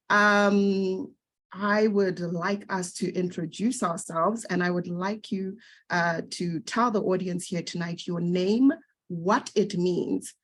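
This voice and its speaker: A woman facilitating an online workshop, talking in a measured, gentle way with frequent fillers. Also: fairly low pitch.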